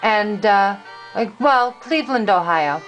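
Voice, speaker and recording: An older woman reading a storybook aloud to a grandchild in a deliberate, dramatic, expressive manner. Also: medium pitch, muffled recording, faint music behind.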